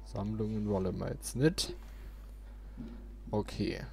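A man's voice: fairly low pitch, slow, creaky, darkish tone, soft background music. A man casually commentating as he plays a video game. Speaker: let's player.

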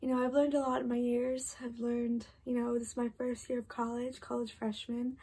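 Eighteen-year-old woman, sleepy bedroom vlog, rambling filler-heavy chat; mellow, gravelly voice. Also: a little high, level tone, moderate pace. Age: 18, about to turn 19. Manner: chatty, lots of "like" and "literally", restarts. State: tired, late at night. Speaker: vlogger. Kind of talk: casual late-night chat to camera. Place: bedroom at home, indoors.

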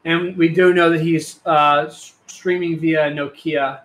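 A man's voice: fairly high pitch, level tone, measured pace, a bit bright, a little hiss or hum. A man with an American Midwestern accent giving casual, joking commentary on a video game speedrun. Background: video game music.

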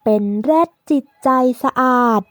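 A woman narrating a scripted children's storybook in a drawn-out, deliberate way for young learners, in the manner of a teaching tale. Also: no echo, soft background music.

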